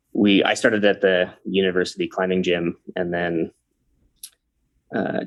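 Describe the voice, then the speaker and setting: A man's voice: low voice, vocal fry. A man from Canada in his thirties, a podcast guest, talking easygoing with many ums and uhs. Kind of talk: casual interview chat.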